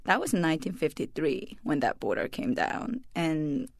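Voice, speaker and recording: A woman in her forties from South Korea, speaking with a Korean accent, thoughtful and reflective, pausing often with ums and restarts as a radio interview guest. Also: sits low, expressive, dry recording, clean studio sound. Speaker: author and journalist guest.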